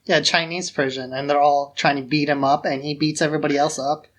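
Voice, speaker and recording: A man's voice: on the high side, level tone, fairly quick. A man co-hosting a podcast, talking about film in a casual, informal way peppered with "like". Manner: informal, frequent filler "like". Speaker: podcast co-host. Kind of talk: casual conversational film discussion.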